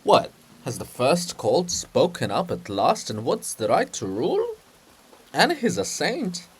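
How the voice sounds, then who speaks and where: A man acting grumpy old poet statue, loud and swooping. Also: on the high side, huge pitch swings, unhurried, breathy edge, heavily acted. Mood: scornful, curious. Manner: emphatic, grumbling, querulous. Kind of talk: dramatic play reading.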